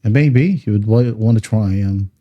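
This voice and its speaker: A man hosting a casual step-by-step software tutorial, often checking in with short tags like okay and right. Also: fairly low pitch, normal speed, highs cut off. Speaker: tutorial host.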